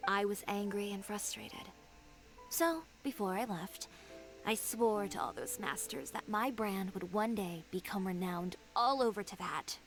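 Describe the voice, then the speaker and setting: A woman's voice: medium pitch, unhurried, soft background music, lightly acted. An actress playing an assertive, poised young female fashion designer in a video game, telling things in a self-assured way. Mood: indignant, determined.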